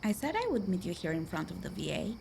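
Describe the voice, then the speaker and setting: A woman's voice: lowish voice, very animated, fast, creaky, darkish tone, a little hiss or hum. A woman acting a worldly, chatty, poor young woman in a radio play, confiding and folksy. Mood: eager.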